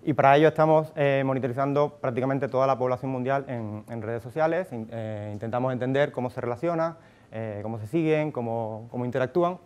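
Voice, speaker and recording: A man in his thirties with a Cuban accent speaks to a conference room through a handheld mic. His voice is smooth and resonant at an ordinary pitch. He talks casually, thinking aloud with frequent fillers and restarts. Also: a little fast, a little mellow, dull audio. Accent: Cuban Spanish. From Cuba. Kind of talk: tech conference talk. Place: conference room, audience present. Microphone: handheld microphone.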